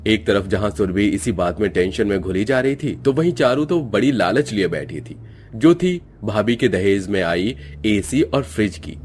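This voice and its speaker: A man's voice: fairly low pitch, animated, normal speed, a little mellow, slight background noise. A man narrating a cartoon family story in a dramatic, scripted storytelling style.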